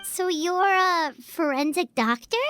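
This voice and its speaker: A woman voicing a tiny, childlike, excitable companion in a video game, exclamatory and inquisitive. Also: extremely high pitch, measured pace, no room sound. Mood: impressed, curious.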